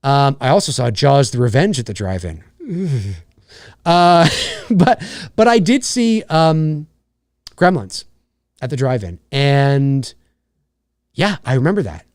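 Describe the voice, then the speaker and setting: A man in his forties from Canada, hosting a live Q&A stream and chatting casually in an excitable, rambling way. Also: mid-range voice, slow, long pauses, no echo, clean studio sound. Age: 40s. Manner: excitable, rambling, reads donor messages aloud.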